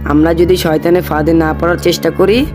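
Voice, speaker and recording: A patient, pious cartoon boy, acted by a man with a high-pitched male voice. He advises his friend earnestly and instructively over faint music. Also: little pitch movement, fairly quick, a little mellow, close to an everyday voice. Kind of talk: moral cartoon dialogue.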